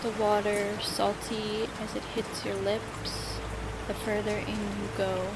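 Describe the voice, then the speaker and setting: A woman narrating a scripted guided fantasy meditation in a measured, soothing way, with long pauses. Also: mid-range voice, monotone, heavy background noise. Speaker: podcast host and meditation narrator. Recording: loud music bed under the voice. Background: loud background music.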